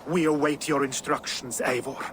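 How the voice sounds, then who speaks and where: An actor playing an earnest, resolute warrior ally in a video game, speaking formally. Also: male voice, medium pitch, little pitch movement, moderate pace, fairly bright tone, slight background noise, lightly acted. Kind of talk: dramatic video game acting.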